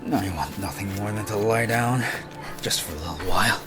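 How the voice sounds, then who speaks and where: A man's voice: lowish voice, fairly quick, lightly acted. A man voicing a weary, injured warrior who grumbles, in a fantasy audiobook. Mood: pained, weary.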